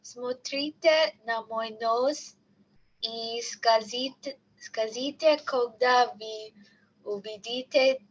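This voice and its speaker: A woman on a video call, speaking in a self-deprecating, lively way. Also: on the high side, slow pace, lo-fi sound, a little hiss or hum. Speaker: trainee on the call.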